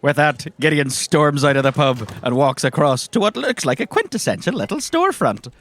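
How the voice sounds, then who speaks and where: A man's voice: a little high, sing-song, moderate pace, a bit bright. A man with an Irish accent narrating a tabletop game as game master in a descriptive, conversational way.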